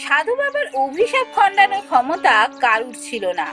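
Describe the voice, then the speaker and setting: A woman's voice: very high voice, sing-song, normal speed. A woman giving a scripted fairy-tale narration for a cartoon. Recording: music behind the voice. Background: background music.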